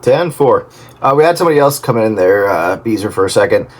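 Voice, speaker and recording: A man hosting a casual radio hobbyist chat over CB, speaking haltingly over a two-way radio link. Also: lowish voice, lively intonation. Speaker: host of a radio hobbyist round-up. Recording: very noisy CB radio transmission.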